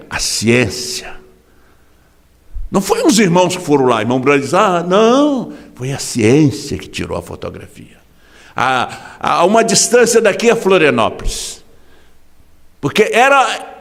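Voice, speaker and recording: A man preaching a sermon as pastor in a Brazilian Portuguese accent, impassioned, swinging from hushed drops to sudden shouts. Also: sits high, long reverb tail. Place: large church hall.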